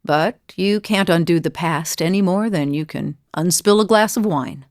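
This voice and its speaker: A woman's voice: fairly low pitch, moderate pace, no room sound, studio quality, natural voice. A woman playing a weathered, good-humored mercenary in a video game, speaking in a wry, plainspoken, reflective way. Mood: reflective, regretful. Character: weathered, world-weary but good-humored female mercenary seeking forgiveness. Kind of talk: scripted video game character dialogue. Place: dry studio voice recording.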